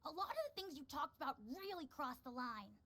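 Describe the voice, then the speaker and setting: A woman's voice: high-pitched, expressive, fairly quick, bright, forceful tone. A woman voicing a loyal young child in a video game who reports on others in a matter-of-fact tone. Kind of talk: video game story dialogue.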